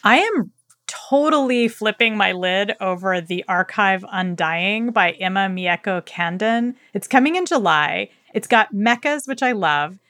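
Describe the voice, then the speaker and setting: Woman, podcast co-host, medium pitch, dry close sound, effusive, slangy, casual book chat. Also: lively intonation, unhurried, pushed and bright, dry recording. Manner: enthusiastic, effusive; colloquial, slangy.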